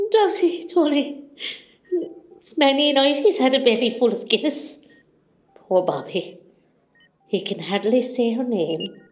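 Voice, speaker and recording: A woman's voice: sits high, expressive, slow, long pauses, a little mellow. A woman playing an elderly, wistful Irish mother from Cork in an amateur hospital radio play. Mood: fond, teasing. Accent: Irish, County Cork. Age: older.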